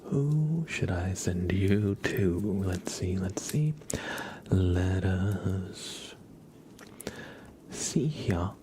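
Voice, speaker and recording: Male ASMR streamer, lowish voice, very slow and soft, frequent whispering, casual chat, close mic. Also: expressive, a little hiss or hum. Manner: soft-spoken, often dropping into whispers. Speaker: ASMR livestreamer, host.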